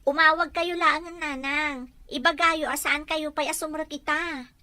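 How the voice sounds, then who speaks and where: A woman's voice: high-pitched, steady tone, unhurried, pushed and bright, no echo, full character voice. A woman with a Filipino accent playing an anxious young daughter in an Ilocano audio drama. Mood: worried. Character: anxious young daughter fretting over her mother.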